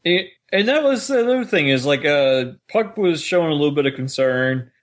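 A man's voice: a little high, animated, normal speed. A man co-hosting a fan podcast in casual, conversational chat, often slipping into quoted lines. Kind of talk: casual chat about an audio drama.

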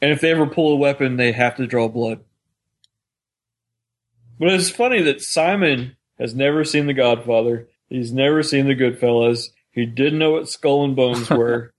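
Guy co-hosting a fan podcast, average pitch, just chatting casually about a show. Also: moderate pace. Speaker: podcast co-host. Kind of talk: casual discussion of an audio drama.

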